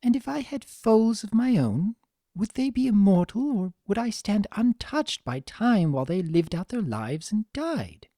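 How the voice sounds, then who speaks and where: A reader voicing a vain, refined fashionista pony mare with a genteel, dramatic and expressive delivery in a story. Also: medium pitch, measured pace, no echo, clean studio sound, pitched above the performer's own voice. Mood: troubled, morbid.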